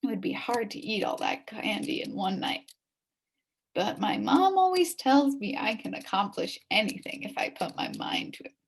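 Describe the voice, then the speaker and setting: A woman reading a children's story aloud in an expressive, dramatic way, dropping into hushed suspense at times. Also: medium pitch, normal speed, gravelly, slightly rough, rough recording. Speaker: story reader.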